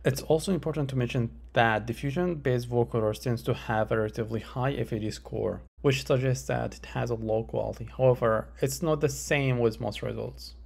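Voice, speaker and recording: A man giving a scripted academic presentation as a researcher, in a measured, formal, explanatory way. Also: mid-range voice, vocal fry, a little mellow, slightly rough. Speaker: researcher presenting a conference paper.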